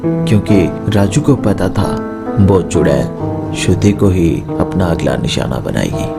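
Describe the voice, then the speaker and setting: A man narrating a scripted horror story as a storyteller. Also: fairly low pitch. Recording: music behind the voice. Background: background music.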